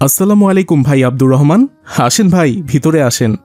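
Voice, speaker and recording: A man's voice: fairly high pitch, lively intonation, quick pace, mellow, faint music behind, lightly acted. A man playing a mild, hospitable father in a cartoon drama, courteous and agreeable. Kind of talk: cartoon dialogue.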